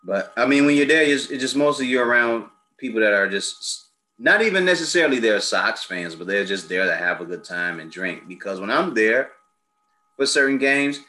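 A man's voice: mid-range voice, lively intonation, moderate pace, bright, forceful tone. An American man from Chicago hosting a casual chat, blunt and opinionated, swearing freely in an informal way. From South Side of Chicago, United States. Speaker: host.

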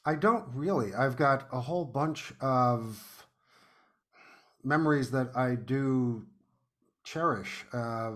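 A middle-aged or older American man from New York City, chatting casually as an interview guest and telling anecdotes in an animated way. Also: medium pitch, takes it slowly, pauses often. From New York City, United States. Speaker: podcast guest, playwright.

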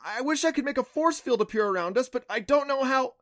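A man's voice: high voice, animated, normal speed, fairly bright tone, no echo, clean studio sound, voice pushed up. A man voicing a bold, clever and bossy young girl adventurer in a storybook reading. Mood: frantic.

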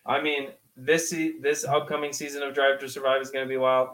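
A man's voice: mid-range voice, completely flat delivery, brisk, crowd noise, low-quality recording. A man co-hosting a sports podcast in casual discussion, explaining things chattily with plenty of slang.

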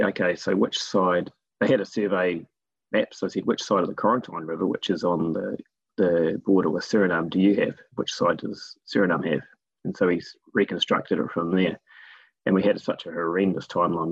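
A man with a New Zealand accent, a guest telling stories about his work in a relaxed, rambling way with many fillers. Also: low voice, vocal fry, slightly rough, no room sound, muffled recording. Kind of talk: casual interview talk.

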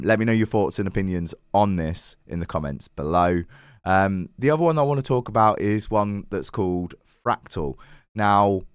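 A man with a croaky, worn voice hosting his own channel, giving chatty crypto commentary with lots of fillers and self-corrections. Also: sits low, no echo, clean studio sound. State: croaky, recovering lost voice. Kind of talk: casual to-camera crypto commentary.

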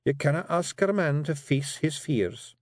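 A man's voice: on the high side, expressive, no echo, clean studio sound, pitched above the performer's own voice. Man doing a sly pixie wife. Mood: coaxing. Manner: coaxing, brisk, sly. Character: sharp clever female pixie clan matriarch. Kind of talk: audiobook character voice.

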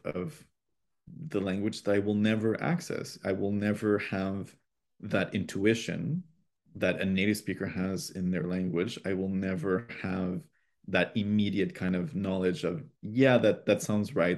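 A young man with a low, gravelly voice talks in a relaxed, thoughtful way. He often pauses to find his words. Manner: reflective, thoughtful, speaks with pauses. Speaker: podcast guest, language educator. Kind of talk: casual conversation.

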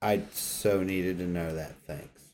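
A man's voice: low voice, fairly even intonation, slow, a little mellow. A man co-hosting a podcast, trading casual banter in wry, informal interjections. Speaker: podcast co-host.

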